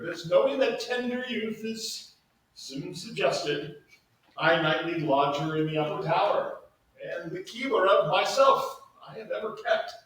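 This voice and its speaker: A man playing an aging, scheming duke and exasperated father in a staged Shakespeare reading, with a theatrical, halting, drawn-out delivery. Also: high-pitched, fairly bright tone. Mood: guarded. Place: staged reading in a large echoey room. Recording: echoey hall from far off, faint noise.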